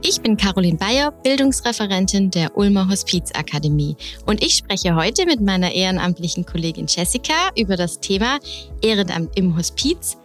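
A woman's voice: mid-range voice, expressive, moderate pace, soft background music. A woman hosting a podcast interview in a conversational style. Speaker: podcast host, education officer.

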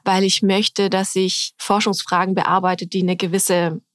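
A woman's voice: medium pitch, little pitch movement, a little slow, no room sound, clean studio sound. A woman, a health scientist, explaining her research in a podcast interview, thoughtful with frequent hesitations.

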